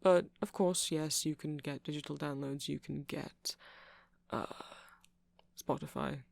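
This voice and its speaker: Nerdy, earnest vampire male performer, soft-spoken and chatty ASMR delivery, gravelly fry, very dry sound. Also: on the high side, fairly even intonation, a little slow, no room sound. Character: nerdy, chatty vampire boyfriend who rambles about music. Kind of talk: ASMR roleplay ramble.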